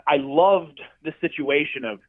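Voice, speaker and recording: A man who directs films, a guest telling stories in a casual interview, animated and swearing freely. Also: fairly high pitch, measured pace, no room sound. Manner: animated, profane storyteller. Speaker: film director and effects artist, guest. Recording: dry tinny phone-like line.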